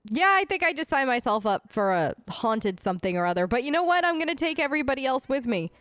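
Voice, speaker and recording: A woman's voice: sits high, very animated, fast, a bit bright, clear, resonant tone. A woman improvising casual, breezy, lightly wry role-play dialogue for a game let's play. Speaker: gamer voicing her player character.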